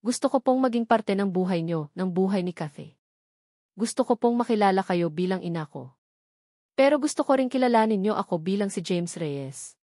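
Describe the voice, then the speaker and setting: A woman's voice: mid-range voice, a little mellow, no room sound. Lady reading as a proud young guy from a poor background, firm but polite, audiobook drama, dry sound. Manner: earnest, firm, respectful. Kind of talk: audiobook melodrama acting.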